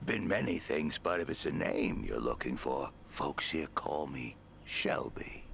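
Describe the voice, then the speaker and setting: An actor playing a mysterious, cryptic old village man in a video game, with a gravelly voice and folksy manner. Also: extremely low pitch, lively intonation, unhurried, a bit bright, slight background noise, full character voice. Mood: cryptic, sly. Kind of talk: video game character acting.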